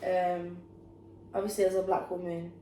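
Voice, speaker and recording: A young woman chatting thoughtfully and softly as a podcast co-host. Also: on the low side, level tone, normal speed. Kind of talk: casual chat. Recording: echoey room, mic far off, faint noise.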